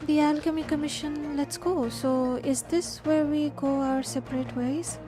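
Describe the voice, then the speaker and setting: Woman, Indian accent, high mellow voice, casual game streamer, reading aloud, faint music. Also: steady tone, measured pace.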